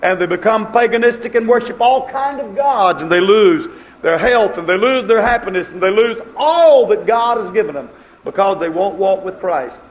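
A man's voice: sits high, lively intonation, normal speed, dull audio. A man preaching a sermon in a dramatic, folksy way, with old-fashioned church phrasing and acted-out quotations. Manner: dramatic, acts out short quoted dialogue.

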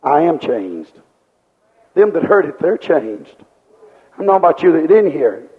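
A man's voice: fairly high pitch, big pitch swings, measured pace. A man preaching a sermon with fervent rhetorical questions and repeated refrains. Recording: dull lo-fi recording with long hall reverb.

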